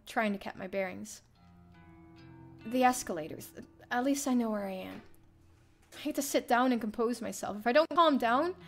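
A woman's voice: a little high, sharp tone. A young adult woman streamer reading a visual novel aloud as dramatic first-person narration. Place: indoor streaming room. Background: faint game music.

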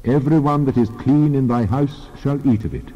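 An actor playing God as a solemn, commanding lawgiver in a dramatized scripture reading. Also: male voice, mid-range voice, a little fast, natural voice. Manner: solemn, declarative. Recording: long reverb, dull band-limited sound.

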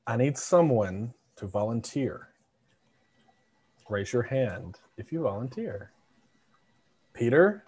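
A man hosting an online elimination game, announcing the rules in a steady, formal way over a video call. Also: mid-range voice, slow pace, pauses often, husky. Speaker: game host.

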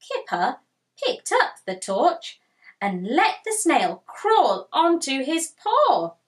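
A woman in her thirties reading a picture book aloud to children, expressive and deliberate, as a storytime host. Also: high voice. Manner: expressive, deliberate, asks listeners questions. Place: small furnished room indoors with bookshelves.

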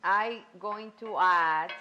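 A middle-aged woman with a Cuban accent, a guest cook demonstrating a recipe in a home kitchen, speaking haltingly with many pauses. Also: mid-range voice, pushed and bright, highs cut off. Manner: halting, many ums, non-native phrasing. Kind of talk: cooking demonstration.

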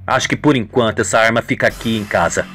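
A man voicing a shady, menacing young male accomplice with gruff, slangy talk in a radio soap. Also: fairly low pitch, fast, a bit bright, soft background music, pitched below the performer's own voice, lightly acted. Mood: guarded, complicit.

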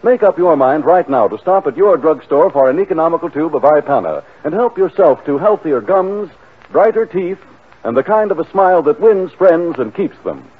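Husky, animated American radio announcer. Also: male voice, fairly high pitch, moderate pace, narrow, tinny audio. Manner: emphatic sales delivery. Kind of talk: 1940s radio commercial announcing. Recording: band-limited 1940 radio broadcast.

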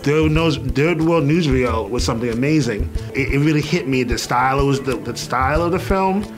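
A man's voice: mid-range voice, brisk. Colloquial man talking over music. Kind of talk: casual interview talk. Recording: music under the voice.

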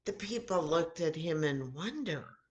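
An older American woman, in her sixties, reads a children's Bible story aloud in a dramatic, deliberate manner, acting out the dialogue. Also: low-pitched, expressive, dull audio. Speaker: children's story time host at a church. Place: home kitchen, indoors. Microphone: webcam or laptop mic at arm's length.

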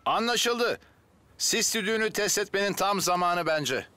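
Heroic firefighter, children's cartoon, high male voice, reassuring, background music. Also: lively intonation, unhurried, sharp tone, heavily acted. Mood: businesslike. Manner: reassuring, encouraging.

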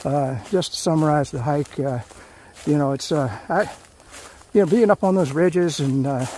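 A man's voice: a little high, moderate pace, dark tone, a little hiss or hum. A middle-aged man talks casually while hiking a forest trail, rambling with many fillers and restarts. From Florida. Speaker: hiking vlogger.

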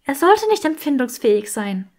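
Audiobook role: flustered, curious young witch, high and hesitant, acted by a woman. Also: big pitch swings, moderate pace, darkish tone. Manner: hesitant, breathy urgency. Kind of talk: audiobook character acting.

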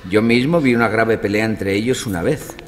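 A man playing a clever, self-assured gentleman who explains and narrates in a formal, measured style for an audio drama. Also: lowish voice, natural voice. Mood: earnest, indignant.

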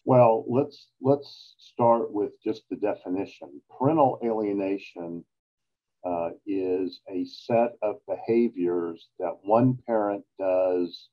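An older man explaining as an expert guest, halting and deliberate, over a video call. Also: fairly low pitch, highs cut off. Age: 60s or older. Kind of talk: interview explanation. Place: small indoor office. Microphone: video call webcam.

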